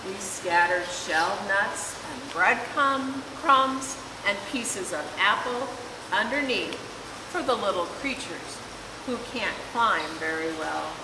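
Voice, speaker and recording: A woman reading a children's picture book aloud in an expressive, deliberate storytelling manner. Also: medium pitch. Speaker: volunteer story reader. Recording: echoey hall, distant mic, clear hiss.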